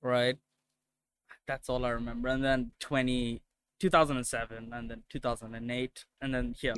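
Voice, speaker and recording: A man's voice: mid-range voice, little pitch movement, normal speed, fairly bright tone, clean tone. A young man from India in casual chat, swearing a lot, laughing often and telling loose stories.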